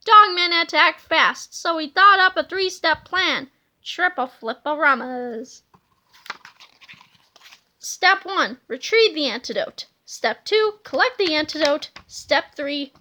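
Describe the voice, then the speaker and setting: A woman reading a children's storybook aloud in an animated, dramatic way. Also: high-pitched, slight background noise. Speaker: storybook reader. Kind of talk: expressive children's book read-aloud.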